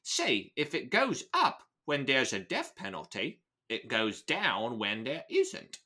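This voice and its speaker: A man acts out a smug underworld boss from an audiobook-style novel reading, with a wobbly Cockney accent. The voice is bright and swings around in pitch as it rambles through threats. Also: huge pitch swings, slow pace, heavily acted. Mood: cold menace. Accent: shaky put-on Cockney. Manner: rambling, threatening monologue punctuated with questions. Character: menacing, smug Cockney male crime boss lecturing a victim.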